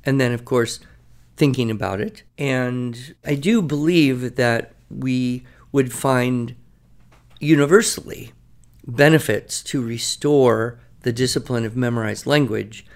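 A man's voice: medium pitch, slow pace. A man co-hosting a podcast, telling stories from teaching in a reflective, conversational way. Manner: storytelling, reflective. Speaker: podcast co-host. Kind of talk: casual podcast discussion.

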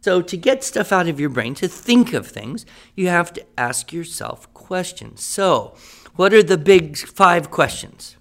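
A man playing a patient writing teacher who gives clear, encouraging instructions. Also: high-pitched, animated, unhurried. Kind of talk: amateur radio drama acting.

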